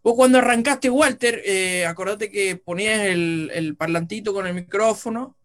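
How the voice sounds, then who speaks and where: A man co-hosting a radio chat, eager and quick to jump in. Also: sits high, fairly bright tone. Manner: eager, interjecting. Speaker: radio co-host. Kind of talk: casual chat.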